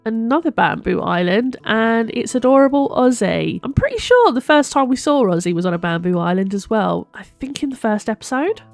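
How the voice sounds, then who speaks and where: A woman's voice: medium pitch, no room sound. Slightly congested woman narrating gameplay over music. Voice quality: slightly congested, bunged-up nose. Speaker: host of a gaming video series. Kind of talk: casual gameplay commentary, chatty.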